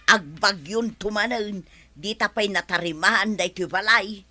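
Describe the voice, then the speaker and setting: A woman's voice: mid-range voice, a little slow, sharp tone. A woman playing a worried village wife in an Ilocano comedy radio drama. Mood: weary. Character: worried village wife urging on house repairs.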